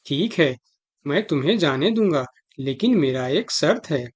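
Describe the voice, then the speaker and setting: A man's voice: a little high, animated, a little slow, slightly rough. A man voicing a dutiful, suspicious elephant guard in a Hindi children's cartoon, with a stern, questioning manner.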